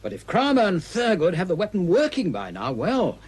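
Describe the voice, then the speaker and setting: High-voiced, commanding radio-serial chief. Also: male voice, huge pitch swings, normal speed, darkish tone, heavily acted. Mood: worried, incredulous. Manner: clipped, commanding. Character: authoritative male security chief, brisk and cautious. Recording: clear background hiss and noise.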